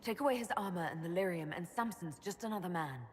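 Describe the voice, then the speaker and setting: An actress playing a composed, authoritative heroine in a video game, direct and formal. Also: female voice, fairly low pitch, quick pace, natural voice. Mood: questioning. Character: composed, authoritative female leader, a mage heroine. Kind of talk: dramatic video game cutscene acting. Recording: hall reverb with background noise.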